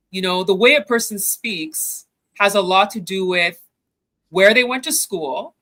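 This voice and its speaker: Emphatic Canadian-accented woman, bright voice. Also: medium pitch. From Ghana, raised in Canada. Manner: earnest, emphatic, explaining. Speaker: co-host. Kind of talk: news commentary chat.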